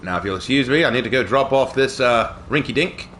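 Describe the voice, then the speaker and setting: A man voicing a pompous, snobbish British gentleman with a put-on posh accent while commenting on a game, hammy and swearing freely. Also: medium pitch, fairly quick, slight background noise, voice pushed down, lightly acted. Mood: gruff boastful. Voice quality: hammy, swearing, self-mocking. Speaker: game streamer. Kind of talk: live gameplay commentary in character.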